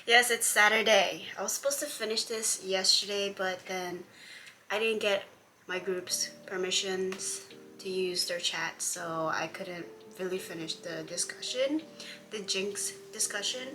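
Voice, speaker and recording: A woman's voice: medium pitch, fairly even intonation, measured pace, noisy background. A woman vlogger from Hawaii chatting casually about a comic she is reading, exasperated and rambling, with many fillers and restarts.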